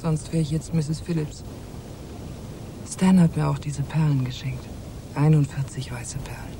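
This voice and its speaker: An actress playing a bold, mysterious married woman in a noir radio drama, with a low, smoky, intimate voice. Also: natural voice. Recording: clear background rumble.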